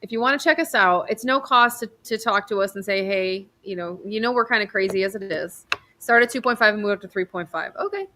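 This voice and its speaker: A woman physician from New York state, hosting a casual livestream over a video call, chatty and rambling with quick bursts of excitement. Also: medium pitch, a little hiss or hum. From New York state, USA.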